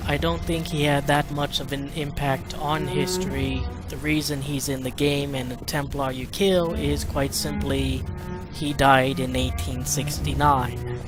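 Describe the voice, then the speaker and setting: A mid-range voice gives casual video game commentary, explaining things as a player would in a walkthrough. Game music plays clearly behind the speech. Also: mid-range voice. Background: video game music and sounds.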